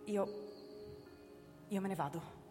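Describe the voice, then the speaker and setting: An actress playing an alarmed, anxious lab keeper in a radio drama, speaking in an agitated, pleading manner. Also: female voice, medium pitch, takes it slowly, natural voice. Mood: frightened, reluctant. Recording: long hall reverb, music underneath. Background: music.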